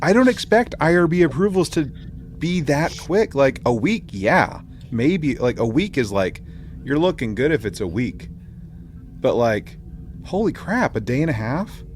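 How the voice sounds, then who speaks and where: A man's voice: fairly high pitch, big pitch swings, unhurried. A man hosting a podcast in casual chat, jokey and informal with his slang. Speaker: podcast host. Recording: clearly noisy room ambience.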